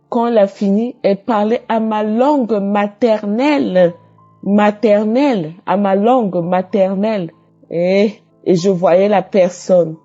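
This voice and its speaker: A woman speaks slowly with a husky, gravelly and very dark voice. She gives a fervent testimony, repeating herself and breaking into praise. The recording is dull and lo-fi, with faint music underneath. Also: mid-range voice. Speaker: caller giving a testimony. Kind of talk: emotional spoken testimony.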